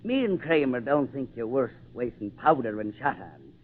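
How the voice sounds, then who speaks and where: An actor playing a villainous ship's first mate, gruff, bossy and taunting, in old time radio adventure style. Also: male voice, mid-range voice, sing-song, moderate pace. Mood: gloating. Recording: tinny narrow-band old radio recording.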